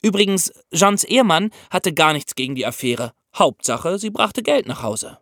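A man's voice: a little high, lively intonation, moderate pace, a bit bright, no room sound. Man, podcast host, very varied intonation, close dry sound, explanatory scripted storytelling. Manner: explanatory, addresses listeners directly.

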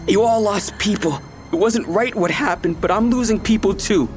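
A man's voice: sits high, normal speed, faint music behind, lightly acted. An actor playing a desperate, menacing, guilt-ridden man with a knife in an audio drama, intense, both pleading and threatening. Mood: agitated, angry.

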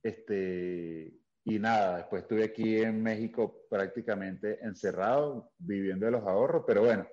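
A man's voice: fairly low pitch, normal speed, darkish tone. A man from Caracas with a Venezuelan accent, a guest actor telling stories in casual interview chat with many fillers and restarts. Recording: dull lo-fi sound.

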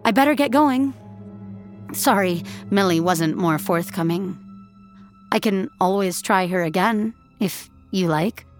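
A woman's voice: on the low side, steady tone, unhurried, faint music behind, natural voice. A woman narrator voicing a guarded, politely nervous young woman in an audio drama, speaking hesitantly.

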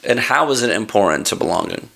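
A man's voice: fairly low pitch, animated, quick pace, gravelly, pushed and bright, breathy edge. A man hosting a pastors' podcast, affirming his guest and asking open questions. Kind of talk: interview conversation.

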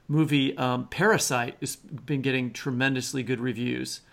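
Male co-host with vocal fry, casual and halting, thinking aloud, mid-pitched. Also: fairly even intonation, fairly bright tone. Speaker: podcast co-host. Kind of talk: casual chat.

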